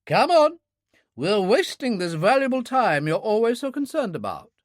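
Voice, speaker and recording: A man reading an audiobook, voicing a spry elderly woman, a former stage star, with a brisk, teasing, sharp-tongued manner. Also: high voice, wildly swooping pitch, no echo, studio quality, pitched above the performer's own voice.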